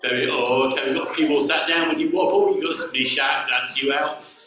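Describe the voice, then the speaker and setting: A man's voice: medium pitch, fairly even intonation, brisk, background noise. A man in his forties, a vicar giving a playful all-age sermon and directing his congregation through games. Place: church hall with a lectern. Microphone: far camera on the floor. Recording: echoey church from far off.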